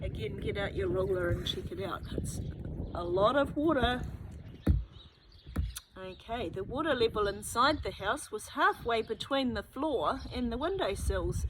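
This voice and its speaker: A woman with a New Zealand accent reading a children's book aloud, adding chatty asides and thinking aloud to young listeners. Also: mid-range voice. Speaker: children's story reader. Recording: noticeable background noise.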